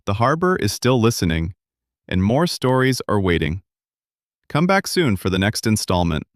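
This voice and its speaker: A man reading a story aloud in a calm, measured narration. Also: fairly low pitch, dry recording, studio quality. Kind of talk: scripted audiobook narration.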